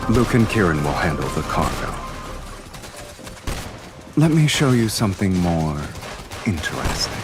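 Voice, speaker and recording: A man playing a suave, teasing crime boss in a romance game, speaking in an unhurried, amused way. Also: deep voice, very animated, over music. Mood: calm, assured.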